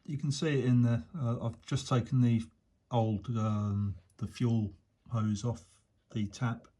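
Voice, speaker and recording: A man, casually explaining a motorcycle repair as he works, in a relaxed, rambling way with plenty of fillers. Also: mid-range voice, takes it slowly. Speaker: motorcycle restorer, channel host. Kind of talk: casual workshop talk-through. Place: workshop or shed.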